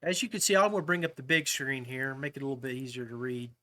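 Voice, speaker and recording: A man hosting a podcast, talking casually and a little haltingly as he walks viewers through details. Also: mid-range voice, lively intonation, sharp tone. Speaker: podcast host. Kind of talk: casual informational talk to viewers.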